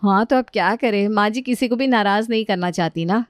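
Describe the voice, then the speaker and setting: A gentle, trusting young married woman voiced by a female narrator in an audio story. She speaks mildly, at a middle pitch, in a dry recording. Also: a little fast, no room sound, close to an everyday voice. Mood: placating. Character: trusting, naive elder daughter-in-law, young woman.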